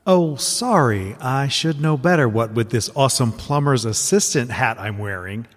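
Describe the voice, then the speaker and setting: An actor playing a wisecracking, reluctant assistant agent in a comedy audio drama, with deadpan sarcastic delivery. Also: male voice, mid-range voice, moderate pace, long reverb tail, natural voice.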